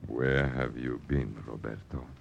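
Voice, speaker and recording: An actor playing a menacing, suspicious Italian partisan leader who interrogates mockingly in an old-time radio drama. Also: male voice, rumbling low, fairly even intonation, measured pace, gravelly, muffled recording, full character voice. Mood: cold menace.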